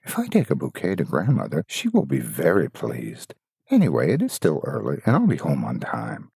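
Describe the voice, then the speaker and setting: A man's voice: rumbling low, huge pitch swings, moderate pace, gravelly, mellow, breathy edge, dry recording, studio quality, full character voice. A man voicing an innocent, trusting little girl in a fairytale, earnest and wide-eyed. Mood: cheerful. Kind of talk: fairytale storybook reading.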